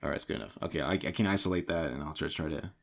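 A man co-hosting a casual podcast from a home game room, with a slightly raspy voice recovering from being lost, rambling and repeating words in a jokey way. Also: a bass voice, very fast. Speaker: podcast co-host introducing the show.

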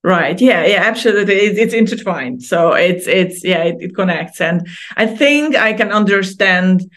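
A woman's voice: mid-range voice, animated, normal speed, a bit bright. A middle-aged woman from Hungary, a podcast guest who chats, laughs often and restarts her sentences. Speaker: guest artist. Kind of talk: casual interview conversation.

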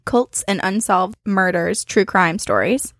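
A woman's voice: mid-range voice, measured pace, a bit bright, no room sound. Woman podcast host, dry close sound. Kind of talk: casual paranormal podcast chat.

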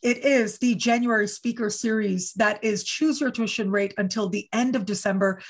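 An effusive woman hosts an online interview, her voice sitting at an ordinary middle pitch. She gushes over her guest and promotes the show with upbeat enthusiasm. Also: steady tone, normal speed. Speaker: host.

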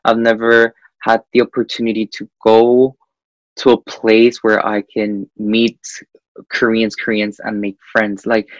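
A young man from Guatemala speaks as an interview guest, often pausing on "eh" as he thinks. His voice sits a little low, and the recording is dry and close, with no room sound. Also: no echo, low-quality recording. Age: young adult. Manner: hesitant, frequent "eh" fillers, animated. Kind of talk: casual interview chat.

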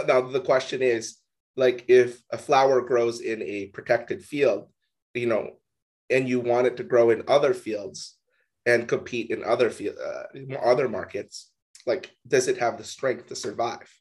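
A man's voice: mid-range voice, steady tone, measured pace. A man co-hosting a casual business discussion, talking loosely with many "like"s. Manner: casual, heavy use of "like".

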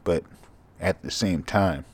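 Sleepy dude rambling on his podcast, kinda monotone and mumbly, lots of cursing, lowish voice. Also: slow. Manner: casual, profane, drifting from thought to thought. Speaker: podcast host. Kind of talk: rambling solo podcast monologue.

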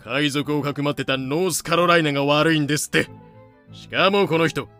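A man's voice: a little high, brisk, fairly bright tone, soft background music, lightly acted. A man playing a blustering, dim-witted pirate captain in a fan-made audio drama. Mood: cocky, puzzled. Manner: blustering, cocky. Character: brash, dim-witted, hot-headed male pirate captain.

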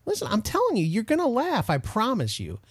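A young man from Detroit hosting a casual podcast chat, excitable and laughing as he tells stories. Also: high-pitched, brisk, husky, no room sound, clean studio sound. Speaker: podcast host.

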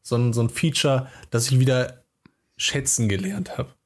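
Podcast co-host: man, mid-pitched, easygoing, hesitant and full of fillers. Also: lively intonation, normal speed. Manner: laid-back, hesitant, lots of fillers. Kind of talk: casual chat.

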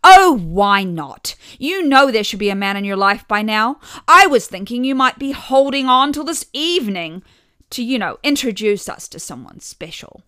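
A woman's voice: fairly high pitch, big pitch swings, moderate pace, pushed and bright, clean tone, no echo, studio quality, pitched above the performer's own voice. A woman voicing an overbearing, self-centred mother in her seventies in a story, barking and gushing theatrically. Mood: nagging, loud. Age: 70s. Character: loud, overbearing elderly Southern mother, self-centred and nagging. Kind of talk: acted storytelling.

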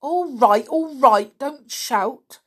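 A woman's voice: high-pitched, lively intonation, drawn-out words, pitched above the performer's own voice, exaggerated voice. A woman voicing a deaf, bad-tempered old man who bellows and threatens, in a storybook reading. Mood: grumbling.

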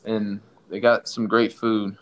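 A man's voice: lowish voice, flat delivery, takes it slowly. A young man from Somalia hosting a casual podcast, loose and joking with his co-host.

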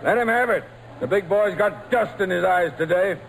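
A man's voice: high voice, gravelly. A man playing a cocky, taunting baseball catcher in old-time radio drama, jeering at his rival, on a noisy old recording. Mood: mocking. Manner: jeering, drawn-out delivery.

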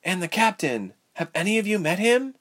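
A man's voice: sits high, very animated, moderate pace, fairly bright tone, voice pushed up, full character voice. A man voicing a tough, guarded young woman held hostage in an audiobook story. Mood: firm.

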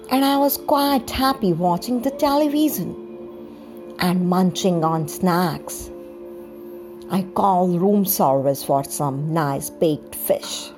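A woman reading a scripted first-person story in a deliberate, dramatized storytelling manner. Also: on the low side, mellow. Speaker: storyteller, podcast host.

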